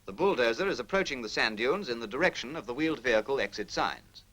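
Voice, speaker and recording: A man's voice: medium pitch, expressive, quick pace, pushed and bright. A British man reading formal scripted narration in the manner of a 1940s military training film, precise, instructional and impersonal.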